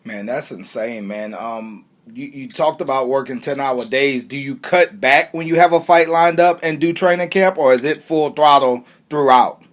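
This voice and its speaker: A man's voice: medium pitch, moderate pace, hardly any pauses. A man interviewing a fighter in a casual, friendly way, often calling him brother. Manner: friendly, calls guest brother.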